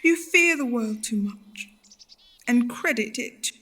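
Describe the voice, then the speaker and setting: An actress playing a tender, sorrowful, steadfast woman in a radio play, earnest and measured. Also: fairly high pitch, animated, sharp tone, heavily acted. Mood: sad, resolute.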